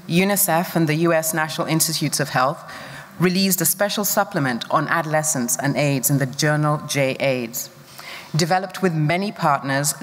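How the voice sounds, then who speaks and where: Measured presenter in a reverberant hall. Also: mid-range voice. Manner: measured, deliberate, scripted delivery. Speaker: conference presenter. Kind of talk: formal conference presentation. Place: large conference hall. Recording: long reverb of a conference hall.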